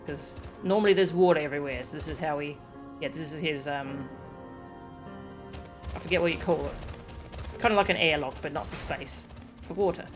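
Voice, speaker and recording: A woman's voice: low voice, normal speed, pauses often. A woman game streamer giving casual, understated live commentary, musing to herself as she plays. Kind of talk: casual live gameplay commentary, reading text aloud. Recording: game music behind the voice.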